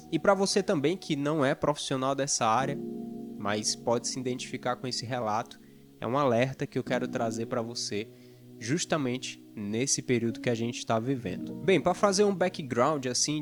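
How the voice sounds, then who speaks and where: A man speaks earnestly to his audience, recounting a personal story in a loose, conversational way full of small hesitations. His voice sits at an ordinary middle pitch. Clear guitar music plays underneath throughout. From Fortaleza, Ceará, Brazil. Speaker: video editor and YouTuber. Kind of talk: personal testimony to camera.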